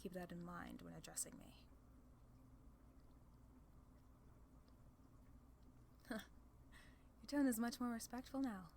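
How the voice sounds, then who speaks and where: A woman's voice: mid-range voice, lively intonation, fairly quick, creaky, a little mellow, a little hiss or hum. A woman playing a haughty, sly female demon lord in an ASMR roleplay, condescending and playfully theatrical. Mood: imperious.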